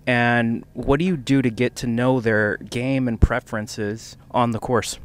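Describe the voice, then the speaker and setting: A man's voice: medium pitch, steady tone, a little slow, a little hiss or hum. A man hosting a golf interview, reading out prepared questions in a plain, scripted way. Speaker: interview host.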